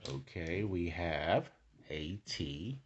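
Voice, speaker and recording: Guy with a lowish voice doing a tarot reading, chatty, keeps checking in with the viewer. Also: lively intonation, drawn-out words, dull audio, slight background noise. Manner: conversational, repeatedly checking in with tag questions. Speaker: tarot card reader, host. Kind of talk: casual, improvised card reading addressed to the viewer.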